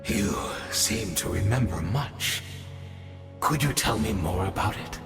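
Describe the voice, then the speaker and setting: A male actor playing a cunning, fervent exile in a video game, speaking in a measured, formal, theatrical way with dramatic pauses. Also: on the low side, expressive, gravelly, echoey room, long reverb tail, heavily acted. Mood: probing, wistful. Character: cunning, fervent exiled man scheming to restore his fallen homeland. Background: music.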